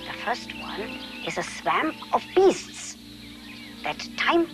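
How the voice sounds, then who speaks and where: An actress playing an eccentric, blunt, moody mystic guide, with a dramatic, drawn-out delivery in a comic fantasy style. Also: female voice, fairly high pitch, very animated. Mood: ominous. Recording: music behind, VHS tape source.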